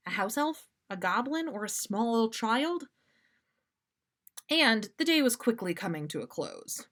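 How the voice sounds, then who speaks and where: Lady podcast host reading fanfic out loud, animated, dramatic pauses, medium pitch voice, kinda casual. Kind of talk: expressive fan fiction read-aloud with casual commentary.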